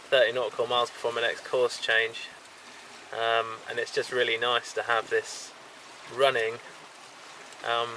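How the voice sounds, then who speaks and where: A man filming a casual video diary while sailing solo, talking in a halting way full of ums and restarts. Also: medium pitch, pushed and bright, low-quality recording. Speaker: solo sailor vlogger. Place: outdoors on a small sailboat at sea. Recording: wind and boat noise. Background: wind, water and boat sounds.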